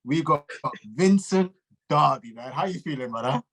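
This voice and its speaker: Bloke hosting a chatty interview, slightly high voice, slangy and encouraging. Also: male voice, animated, moderate pace, a bit bright, slightly rough. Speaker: interviewer and show host. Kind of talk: casual interview chat. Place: remote video call.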